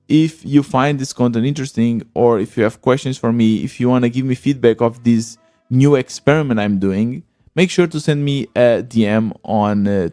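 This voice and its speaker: A man's voice: mid-range voice, a little mellow, no echo. Earnest man hosting a podcast, dry sound. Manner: earnest, thinking aloud with fillers and restarts. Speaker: podcast host. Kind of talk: solo podcast monologue.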